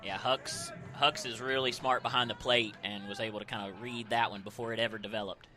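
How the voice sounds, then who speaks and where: American male color analyst for live baseball radio, bright tone, informal and folksy conversational delivery. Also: mid-range voice, fairly even intonation, fairly quick, faint music behind. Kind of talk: conversational live sports commentary.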